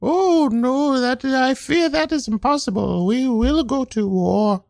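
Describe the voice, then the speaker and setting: A woman's voice: sits high, slow, creaky, darkish tone, no room sound, clean studio sound, full character voice. A woman improvising a comedy scene as a defensive, warlike monarch, making mock-grave proclamations. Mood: solemn, warlike. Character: defensive, warlike monarch commanding seventeen soldiers.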